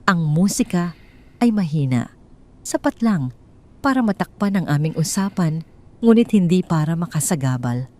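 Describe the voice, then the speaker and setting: Cold, measured woman storyteller, low-pitched. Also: animated, darkish tone. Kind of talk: scripted first-person drama narration.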